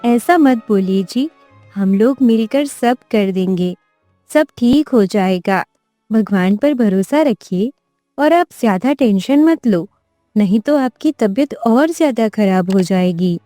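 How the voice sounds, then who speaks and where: A woman's voice: medium pitch, animated, slow pace, mellow, dry recording, clean studio sound, faint music behind. A woman voicing a devoted, kindhearted, careworn young wife in a cartoon tale, earnest and emotional in delivery. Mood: reassuring.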